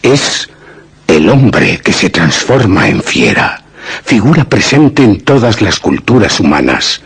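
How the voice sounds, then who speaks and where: A man with a very raspy, gravelly voice reading scripted, dramatic horror narration. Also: low-pitched, huge pitch swings, slow pace.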